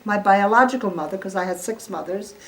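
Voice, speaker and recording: A woman's voice: medium pitch, fairly quick, fairly bright tone, slight background noise, rough recording. An American woman in her eighties giving informal, emphatic spoken testimony to guests at home, often putting questions to her listeners. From born in Poland, raised in the United States. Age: 80s. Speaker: Holocaust survivor giving testimony. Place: at home, room with gathered guests.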